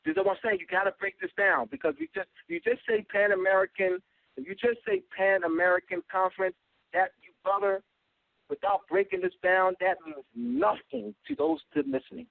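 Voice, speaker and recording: A man giving an insistent talk, stopping often to fill pauses with ums and uhs, heard over a phone line. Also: sits high, lively intonation, gravelly, no echo. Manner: insistent, repetitive, many filler ums and uhs. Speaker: guest speaker. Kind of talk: call-in lecture, talking to a host. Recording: tinny phone line, dry.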